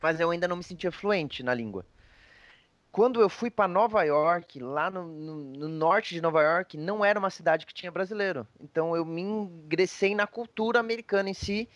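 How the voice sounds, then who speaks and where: A Brazilian man with a fairly high voice chats casually. He talks in a slangy, rambling way as he shares his own experiences. The sound is dry and a bit dull. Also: no echo. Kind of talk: casual conversation, personal anecdotes.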